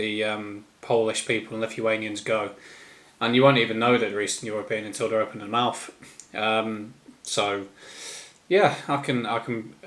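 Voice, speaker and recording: A man with an English East Midlands accent giving an informal, unscripted opinion monologue in a rambling, halting manner. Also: lowish voice, a bit bright. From East Midlands, England. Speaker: solo commentator.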